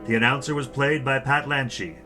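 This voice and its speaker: A man announcing in a formal old-time radio drama style, with deliberate, dramatic phrasing. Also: mid-range voice. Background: music.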